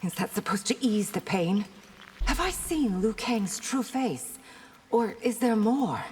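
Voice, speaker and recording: A woman playing a proud, wary warrior princess in a video game, with a husky, breathy voice and a pointed manner. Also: on the high side, expressive, measured pace, a little mellow, heavily acted. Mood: guarded, challenging. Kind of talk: video game character acting. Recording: long hall-like reverb.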